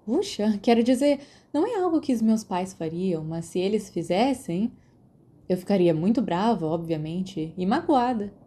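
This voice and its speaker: A woman's voice: medium pitch, animated, normal speed, warm tone, close to an everyday voice. A woman voicing an outspoken, clever, kind teenage witch girl in a story, with a frank, pointed way of talking. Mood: earnest.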